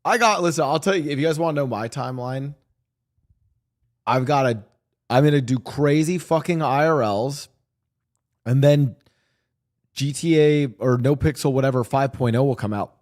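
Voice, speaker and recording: A man's voice: mid-range voice, moderate pace, pauses often, a little mellow. A man live-streaming, chatting casually to his viewers and reacting to a clip, rambling and swearing freely. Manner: swearing, rambling, talks to chat.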